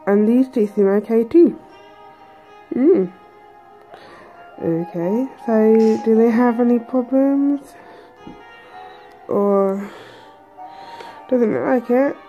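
A woman hosting a let's play, chatty and hesitant with lots of ums, her voice a bit croaky from a cold. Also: a little high, very slow, pauses often, muffled recording, faint music behind. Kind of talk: casual game commentary. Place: indoors at a table.

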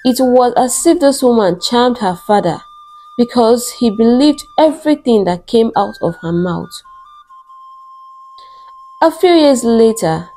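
A woman narrating a scripted folktale in a measured, deliberate storytelling manner. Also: on the high side, dark tone.

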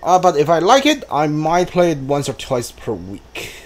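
Higher-pitched man streaming a video game. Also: big pitch swings, normal speed. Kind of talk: casual gameplay commentary. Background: video game music.

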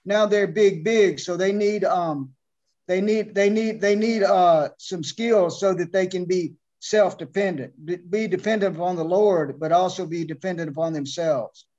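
A middle-aged man, a missionary, speaking devoutly and haltingly about his ministry in a video call interview. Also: high voice, darkish tone. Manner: devout, hesitant speech with many restarts. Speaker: missionary, orphanage founder. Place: home room, beside his wife. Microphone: video call webcam. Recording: muffled lo-fi video call audio.

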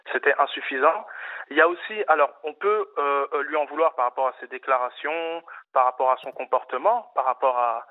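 A male caller making an insistent case about football on a radio phone-in, with many fillers and restarts. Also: medium pitch. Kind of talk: animated phone-in football debate. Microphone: telephone. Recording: tinny phone line.

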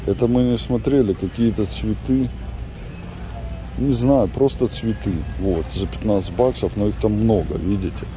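A man's voice: fairly low pitch, moderate pace, music in the background. A middle-aged man chatting casually to viewers while filming in a large warehouse store. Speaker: vlogger. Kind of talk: casual vlog chat while shopping. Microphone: handheld camera.

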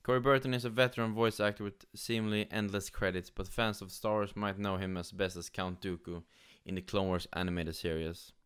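A man from Sweden with a Swedish accent, giving casual, somewhat halting gaming news commentary. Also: fairly low pitch, steady tone, a bit bright, smooth. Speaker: gaming YouTuber. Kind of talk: casual news commentary, partly reading aloud.

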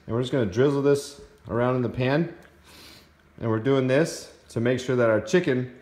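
A man's voice: mid-range voice, moderate pace, a little mellow. A man in his thirties giving a casual, informal how-to talk to a phone in a home kitchen, plain-spoken like a fitness vlogger. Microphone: phone at arm's length.

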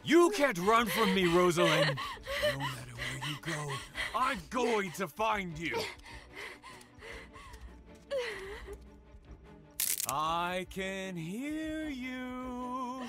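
A man's voice: sits high, very animated, slow, full character voice. An actor playing a sadistic, boastful male serial killer in an audio drama, with theatrical, taunting menace. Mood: taunting frenzy. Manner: theatrical, swinging from quiet menace to raging shouts.

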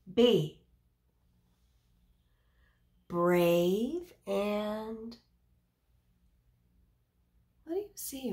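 A woman's voice: mid-range voice, gravelly, a bit bright. A woman in her thirties reading a picture book aloud to young children as a teacher, explaining simply and putting questions to her listeners.

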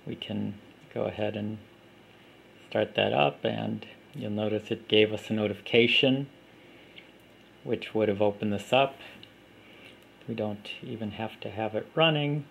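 A man giving a plain, unhurried walkthrough of an app, recorded on a camera mic indoors at a table. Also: fairly low pitch, fairly even intonation, gravelly, slight background noise. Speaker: app developer demonstrating his app. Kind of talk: casual product demo.

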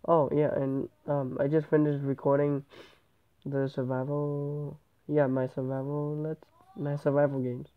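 Stuffy-nosed male gamer, tinny sound. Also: fairly high pitch. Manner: rambling, often correcting himself. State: stuffy nose from a cold. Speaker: gameplay channel host. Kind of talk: casual game commentary. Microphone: tablet microphone. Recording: tinny, phone-like tablet recording.

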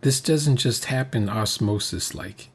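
A man's voice: lowish voice, lively intonation, unhurried, husky. An older man giving casual reaction commentary, speaking emphatically and repeating phrases for effect. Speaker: commentator reacting to a recorded roleplay session.

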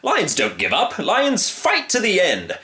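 Man as a blustering high-voiced storybook hunter. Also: wildly swooping pitch, a little slow, sharp tone, roomy sound, exaggerated voice. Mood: blustering, insistent. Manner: pompous, emphatic, exclamatory. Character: blustering, alarmist, pompous male lion hunter.

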